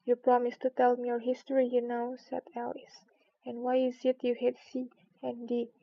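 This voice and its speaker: Casting: curious, well-mannered storybook girl voiced by a woman, high, humble, eager to please. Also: completely flat delivery, normal speed, creaky, muffled recording. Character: polite, earnest, curious young girl.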